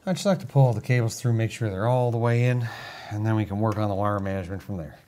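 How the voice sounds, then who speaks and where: A man's voice: lowish voice, fast talker, warm tone, slight background noise. A man building a gaming computer on a live stream, joking dryly with his co-host. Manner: teasing, dry humor. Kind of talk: casual live-stream banter.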